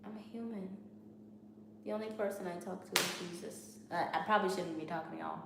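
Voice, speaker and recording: A woman's voice: fairly low pitch, brisk, creaky. A woman with a Haitian Creole accent, rambling candidly about her studies in a study vlog and often restarting her sentences. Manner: talks candidly, restarts and repeats herself. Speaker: student vlogger. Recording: very echoey room with noise.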